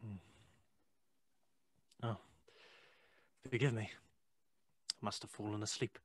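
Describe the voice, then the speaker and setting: A man playing a curious, courteous Victorian gentleman in an audio play, speaking in a polite, formal, inquisitive way. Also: lowish voice, fairly even intonation, slow, slightly rough, no room sound. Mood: thoughtful.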